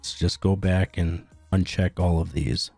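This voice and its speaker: A man narrating a step-by-step software tutorial in a plain, instructional way. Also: low voice, steady tone, unhurried, creaky, slightly rough, dry recording, faint music behind. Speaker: tutorial host.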